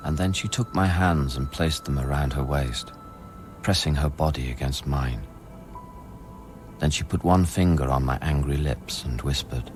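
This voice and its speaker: An actor playing a brooding, haunted man of science in a radio play, speaking with measured intensity. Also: rumbling low, faint music behind. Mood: tender, hushed. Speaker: lead actor and first-person narrator. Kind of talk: radio play, intimate literary narration and dialogue.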